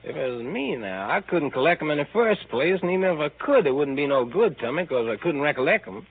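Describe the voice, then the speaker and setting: A man's voice: fairly high pitch, very animated, brisk, husky, exaggerated voice. An actor playing a bemused, folksy older handyman in a 1930s radio comedy. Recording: tinny 1930s radio broadcast.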